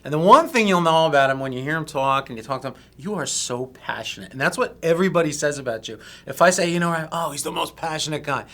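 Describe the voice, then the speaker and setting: Bright, chatty male interviewer. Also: a little high, fast talker, hardly any pauses. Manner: upbeat, chatty, hyping the guest. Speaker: interviewer, magazine editor. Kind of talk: casual interview.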